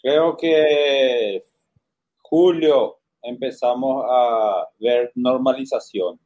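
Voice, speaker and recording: A man speaking casually as a panelist in a group discussion, over dull, low-fidelity audio. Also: extremely slow, darkish tone.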